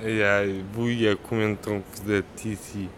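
A man's voice: lowish voice, little pitch movement, normal speed, a little hiss or hum. A man interviewing a guide, asking questions with hesitations.

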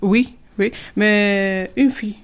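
Slow, halting woman from Algeria. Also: mid-range voice, steady tone, smooth. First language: not French. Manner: halting, simple French, many hesitations. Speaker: interviewee, French learner. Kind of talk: hesitant interview answers.

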